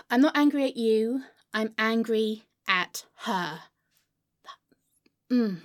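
A woman's voice: fairly high pitch, expressive, very slow. A woman playing a melodramatic, irrational, foul-mouthed character in a comedic monologue, histrionic and swinging between sulky muttering and wailing. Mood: wounded, sulky. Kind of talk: comedic monologue to an unheard friend.

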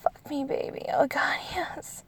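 A woman playing an amorous, eager adult lover in an intimate audio roleplay, speaking to the listener in a breathy, whispery voice. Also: lightly acted. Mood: impassioned. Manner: slow, intimate, addressing the listener directly.

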